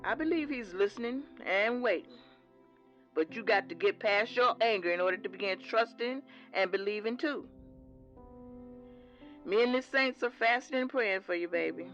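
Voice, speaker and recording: An actress playing a devout mother who softly counsels her son, calling him baby. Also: mid-range voice, moderate pace, lo-fi sound, soft background music, lightly acted. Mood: gentle. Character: devout church mother, older woman, gently firm. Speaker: mother of the lead. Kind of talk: audio drama acting.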